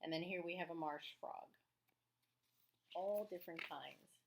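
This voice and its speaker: Lower-pitched woman teaching children. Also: measured pace, vocal fry. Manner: expressive, chatty, exclaims to young listeners. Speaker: elementary school teacher. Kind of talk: read-aloud lesson for children with casual asides.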